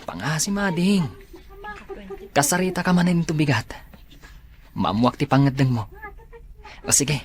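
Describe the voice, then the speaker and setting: A man's voice: on the high side, expressive, unhurried, long pauses. A man playing an earnest young basketball player in a Filipino radio drama, speaking politely and coaxingly. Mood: pleading.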